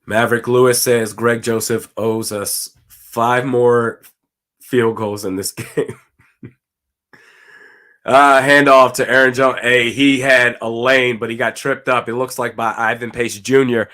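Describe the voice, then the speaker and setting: A man whose voice runs a little raspy and hoarse from weeks of yelling. He calls plays as they happen and chats loosely with his viewers, speaking at a medium pitch. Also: fairly even intonation, unhurried. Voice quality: slightly raspy. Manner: casual, talks to chat, reads comments aloud. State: hoarse from screaming. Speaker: watch party streamer. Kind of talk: live game commentary and chat reaction.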